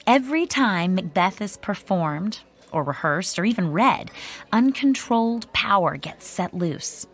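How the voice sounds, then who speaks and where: A woman's voice: mid-range voice, a little slow. A woman playing chatty woman explaining magic lore. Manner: lively, explains with relish. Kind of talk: radio drama acting.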